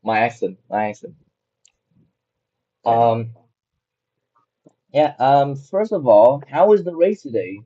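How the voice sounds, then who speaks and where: A man hosting a casual livestream who chats loosely and is quick to apologize. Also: medium pitch, animated, warm tone, dull audio. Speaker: broadcast host.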